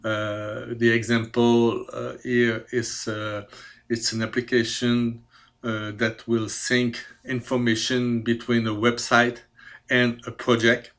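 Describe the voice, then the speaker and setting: A man in his fifties from Quebec, a native French speaker with a Quebec French accent, presenting his skills with careful, hesitant speech and many pauses. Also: medium pitch, little pitch movement, a bit bright. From Quebec, Canada. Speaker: CAD programmer. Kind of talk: self-presentation video.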